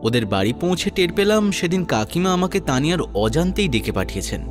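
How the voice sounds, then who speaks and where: A man narrating a first-person audio story and acting its dialogue, in a measured, earnest way. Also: fairly high pitch, sing-song. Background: new-age music.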